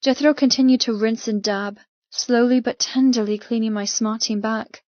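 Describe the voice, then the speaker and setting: A woman's voice: on the high side, fairly even intonation, moderate pace, a little mellow, dry recording, muffled recording. Dramatic woman audiobook narrator, dry sound. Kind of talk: scripted first-person fiction narration.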